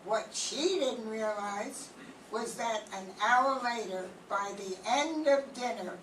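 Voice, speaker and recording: An older woman playing a slow, deliberate sergeant. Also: on the high side. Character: calm, methodical police sergeant. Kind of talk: 1940s radio acting. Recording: echoey room from far off, faint noise.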